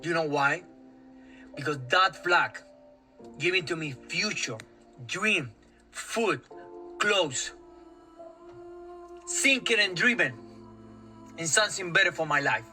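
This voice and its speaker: A man's voice: on the high side, expressive, slow, pauses often, sharp tone, faint music behind. A man speaking emphatically in broken English.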